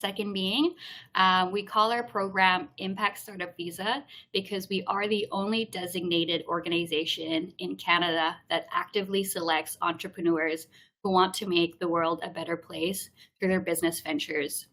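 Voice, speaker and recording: A woman's voice: fairly low pitch, fairly even intonation, normal speed, fairly bright tone, rough recording. A woman in her thirties from Hong Kong, a program associate giving a friendly, explanatory presentation in a live webinar, with frequent "um" fillers.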